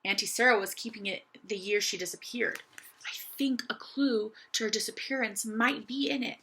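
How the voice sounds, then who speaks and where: A woman's voice: a little high, steady tone, moderate pace, sharp tone, slight background noise, rough recording. A woman voicing a young girl doll in a children's story, eager, earnest and confiding, restless for adventure. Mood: secretive, excited. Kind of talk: children's audiobook character voice.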